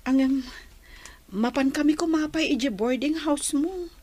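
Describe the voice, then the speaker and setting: An actress with an Ilocano accent playing a troubled, ashamed but stubborn grown daughter in a radio drama, plaintive and hesitant. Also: female voice, high voice, a little slow, darkish tone. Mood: uneasy, plaintive.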